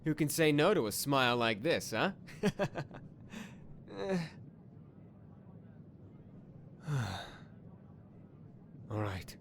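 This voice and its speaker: A man's voice: medium pitch, sing-song, slow pace, a bit bright, a little hiss or hum. A man playing a nervous, lovestruck young space cadet in an anime parody, stammering and awkward. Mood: anxious self-encouragement.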